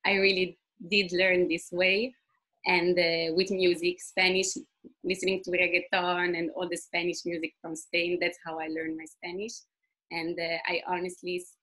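A woman's voice: on the low side, a bit bright, breathy edge, rough recording. A woman whose first language is Italian, the community manager answering questions on a video call, laughing often between answers. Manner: laughs often, fluent non-native phrasing. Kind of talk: webinar Q&A answers.

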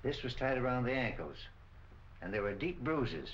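An actor playing a matter-of-fact, unhurried middle-aged police doctor in a 1940s film. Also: male voice, medium pitch, very animated, lightly acted. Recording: dull, faintly noisy 1940s film soundtrack.

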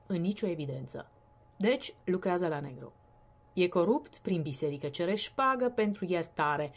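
A woman with a breathy, husky voice giving an expressive recitation of poetry. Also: fairly low pitch. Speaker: poetry reader.

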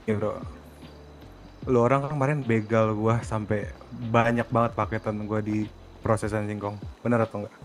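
A man's voice: lowish voice, little pitch movement, moderate pace, long pauses, soft background music. A man arguing insistently in character during an online role-play game. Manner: argumentative, insistent. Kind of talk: in-character argument in a multiplayer game.

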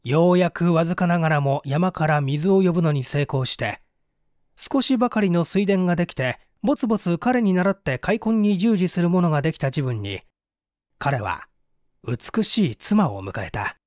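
Man, scripted story narration, lively melodic range, dry close sound, theatrical reader. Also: fairly high pitch, normal speed, no room sound. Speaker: audiobook narrator, stage actor.

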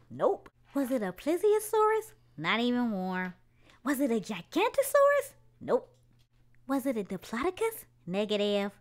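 Woman, children's storyteller, picture-book read-aloud, high voice, unhurried. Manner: slow, expressive read-aloud with drawn-out questions.

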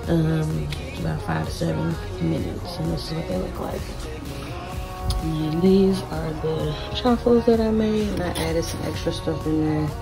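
Low, gravelly woman chatting in her kitchen. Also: low-quality recording. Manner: relaxed, chatty, addresses viewers as y'all. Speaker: cooking vlogger. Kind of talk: casual cooking vlog. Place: home kitchen, indoors. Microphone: phone camera on the counter.

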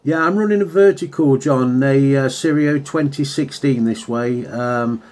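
A man's voice: mid-range voice, unhurried, background noise. Chatty man on the radio. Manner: chatty, uses radio jargon. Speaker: radio hobbyist and video maker. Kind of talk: casual CB radio contact chat. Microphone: handheld radio microphone.

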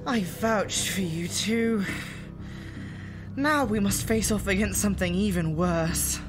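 A woman's voice: mid-range voice, slow, music in the background, lightly acted. Woman playing a solemn, commanding magical authority. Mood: grave. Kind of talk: audio drama acting.

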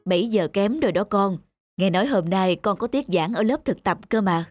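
A woman's voice: mid-range voice, animated, normal speed, natural voice. A woman voicing a caring elderly mother in a cartoon, coaxing and fussing over her grown daughter. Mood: gently fussing. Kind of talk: cartoon voice acting. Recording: loud music behind the voice.

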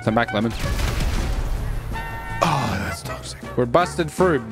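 Animated joking man over loud music. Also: medium pitch, slow. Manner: crude, mocking banter. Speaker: gamer and streamer playing roleplay. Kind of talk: casual joking game roleplay chat.

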